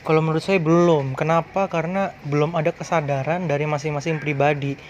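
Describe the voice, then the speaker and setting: A man in his twenties answering interview questions in a relaxed, casual way, with loose slang. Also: fairly high pitch, brisk, soft background music. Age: 20s.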